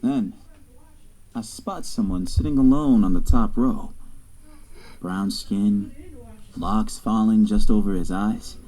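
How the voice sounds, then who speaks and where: A man's voice: fairly low pitch, slow, long pauses, warm tone, low-quality recording. An actor playing a proud young college quarterback who narrates his own story in an audio drama. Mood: unsettled. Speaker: narrator and lead character.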